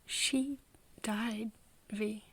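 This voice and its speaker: A woman playing an earnest young woman confiding in a friend in an ASMR roleplay, speaking softly and haltingly, often in a whisper. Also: a little high, steady tone, heavily acted. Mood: sad, hesitant. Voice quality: soft, breathy, often whispering. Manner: halting, hesitant confiding with pauses. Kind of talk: ASMR roleplay, intimate one-sided conversation.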